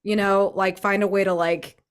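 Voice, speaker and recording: Woman brainstorming out loud in a game session, gushy and animated, medium pitch. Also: normal speed. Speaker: tabletop RPG player. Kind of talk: casual collaborative game chat.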